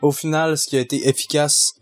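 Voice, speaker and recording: Young Québécois man, measured and hesitant. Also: on the high side, flat delivery, faint music behind. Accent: Québécois French. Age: young adult. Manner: measured, hesitant with many fillers. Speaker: sound mixer. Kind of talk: casual Q&A answers.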